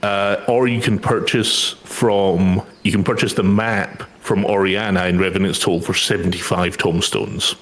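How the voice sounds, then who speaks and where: Low, unhurried man explaining a game. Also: steady tone, a bit bright. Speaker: co-player explaining quest requirements. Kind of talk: casual explanation, reading from a game guide.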